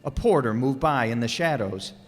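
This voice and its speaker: Expressive male narrator in echoey hall. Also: medium pitch, normal speed. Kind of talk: dramatic scripted storytelling for a live radio play. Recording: echoey hall, music behind.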